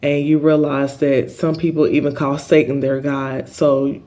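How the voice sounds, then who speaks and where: A middle-aged man hosting a spiritual healing channel, talking in an earnest, halting way with lots of filler ums and a big voice. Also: a little high, level tone, dark tone. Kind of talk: spiritual healing vlog monologue.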